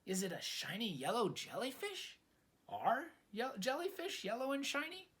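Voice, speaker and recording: An excitable young sea creature from a storybook, sweet and hopelessly romantic, played by a man reading aloud. The voice sits high and swings widely as it gushes and exclaims. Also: normal speed, heavily acted. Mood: curious. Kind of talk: children's storybook character voice.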